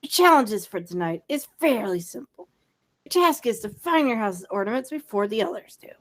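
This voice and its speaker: A woman's voice: fairly high pitch, expressive, moderate pace. A woman playing an elderly male headmaster wizard, commanding yet genial, with formal, courteous phrasing in an amateur audio drama. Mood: genial, ceremonial.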